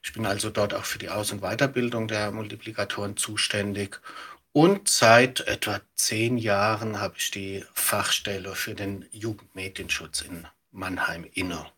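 A man's voice: on the low side, steady tone, normal speed, pushed and bright, slightly rough. A middle-aged man giving explanatory interview answers as an expert guest. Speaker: media educator, podcast guest.

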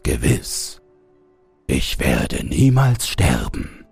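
Male reader as deathless old fairy-tale villain, sly, menacing, very breathy, creaking low voice, drawn-out words. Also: wildly swooping pitch, darkish tone, faint music behind, full character voice. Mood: assured, evasive. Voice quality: breathy, gravelly rasp.

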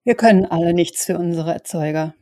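A woman playing a diplomatic, level-headed vampire in an audio drama, calming and reasonable. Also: on the low side, a little fast, dry recording, lightly acted. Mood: placating. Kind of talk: German audio drama role-play acting.

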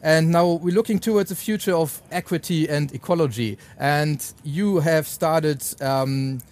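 A man's voice: on the high side, unhurried, darkish tone. A man hosting an unscripted stage interview as event moderator, with rambling, hesitant phrasing.

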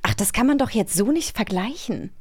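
A woman playing a dutiful, apologetic lowly worker in an improvised children's audio drama. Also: animated, moderate pace, husky, no room sound, clean studio sound. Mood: defensive.

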